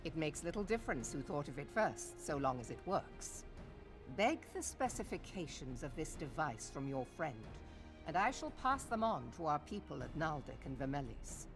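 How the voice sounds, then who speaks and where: An actress playing a blunt, commanding, battle-hardened admiral in a fantasy game, with stern, formal, archaic speech. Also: female voice, low-pitched, big pitch swings, normal speed, over music. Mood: measured, formal. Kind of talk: fantasy video game cutscene acting.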